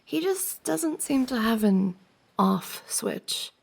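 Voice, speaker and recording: A woman's voice: on the high side, animated, slow pace, creaky, a little mellow, breathy edge, exaggerated voice. A woman playing a friend's giggly, teasing older sister in an intimate, conversational ASMR roleplay. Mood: amused banter.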